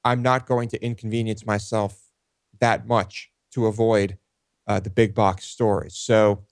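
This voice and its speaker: A man's voice: lowish voice, little pitch movement, measured pace, no room sound, studio quality. A man co-hosting a talk show, chatting casually and hesitantly. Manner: hesitant, many fillers and restarts. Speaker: co-host. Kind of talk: casual chat.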